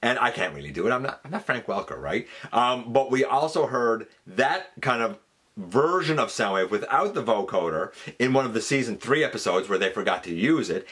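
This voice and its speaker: A man's voice: mid-range voice, very animated, a little fast, fairly bright tone, breathy edge. A man hosting a fan countdown, giving casual, enthusiastic and emphatic commentary. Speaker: host of a fan countdown show.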